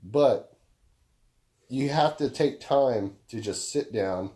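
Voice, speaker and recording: A young man with a deep baritone voice, talking casually on a podcast, laid-back and swearing freely as he explains through long stories. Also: takes it slowly, dark tone. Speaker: podcast co-host.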